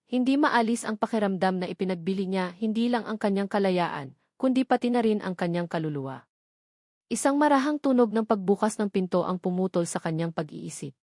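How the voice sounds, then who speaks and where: A woman's voice: medium pitch, a little mellow, no echo. Dry, close-sounding woman narrating romantic drama. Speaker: storyteller narrator.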